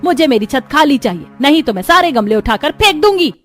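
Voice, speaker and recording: A woman's voice: high-pitched, lively intonation, fairly quick, a bit bright, soft background music, voice pushed up. A woman voicing a strict, easily angered middle-aged mother-in-law in a cartoon. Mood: angry scolding.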